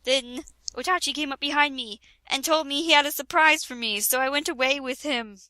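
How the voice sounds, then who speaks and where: A woman's voice: high voice, fairly quick, bright, forceful tone, no room sound. A young woman doing a comedic dramatic reading, giggling and breaking off for asides. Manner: giggly, breaks into laughter mid-sentence; mock-dramatic, interrupts herself with asides. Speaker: reader of a parody fanfic.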